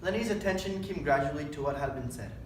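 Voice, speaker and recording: A man's voice: on the high side, expressive, quick pace. A young man reading scripted story narration aloud in a readers' theatre. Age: young adult. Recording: very echoey room, distant mic, faint noise.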